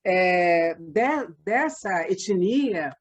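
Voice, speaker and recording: An older woman with a softened Rio de Janeiro accent, chatting casually as an interview guest and telling anecdotes. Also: on the low side, lively intonation, extremely slow, breathy edge. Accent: softened Rio de Janeiro Brazilian Portuguese. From Rio de Janeiro, Brazil. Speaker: interview guest, radio drama performer and producer.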